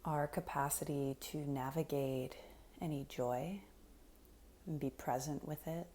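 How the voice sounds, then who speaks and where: Female meditation guide, soft and breathy with a dark, relaxed tone, soothing and unhurried, faint noise in the background. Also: low voice. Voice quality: soft, breathy, often near a whisper. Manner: soothing, unhurried, gentle instruction with long pauses.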